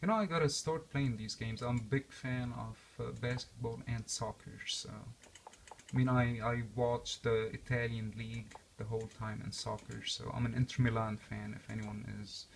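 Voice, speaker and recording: Young man with an accent, gravelly tone, relaxed chatty vlogger who rambles and restarts. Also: medium pitch, normal speed, slight background noise. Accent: non-native English accent. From born and raised outside the US. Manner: rambling with many fillers and restarts. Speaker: vlogger reviewing a game console. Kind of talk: casual unscripted walkthrough.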